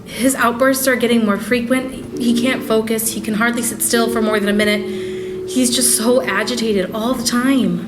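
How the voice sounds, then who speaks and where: A woman's voice: a little high, fairly even intonation, normal speed, music in the background, natural voice. A woman acting a firm, exasperated but caring schoolteacher in a radio play. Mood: concerned, polite.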